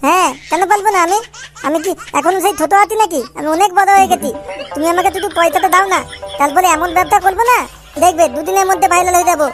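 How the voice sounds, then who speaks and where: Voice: very high-pitched, fast, music in the background. A performer voicing a cheeky, foul-mouthed little boy in a comic cartoon, boastful and grumbling with a childish lisp. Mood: boastful, eager.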